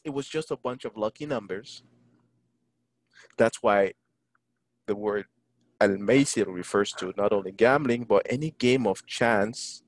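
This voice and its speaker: A man teaching an online Quran lesson, engaging his listeners and reciting Arabic verses quickly. English is not his first language. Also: on the low side, dry recording. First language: neither Arabic, English nor French. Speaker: imam teaching a Quran class. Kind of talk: online Quran lesson over a video call. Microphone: video call.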